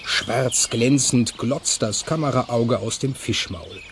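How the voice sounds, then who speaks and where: A man's voice: medium pitch, takes it slowly, noisy background. A man reading scripted narration for a children's radio play.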